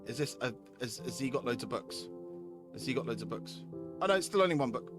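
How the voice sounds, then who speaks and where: A man's voice: medium pitch, expressive, a little fast, over music. A man live-streaming a game, chatting casually with viewers, repeating himself and reading story text aloud. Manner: repeats phrases while addressing chatters.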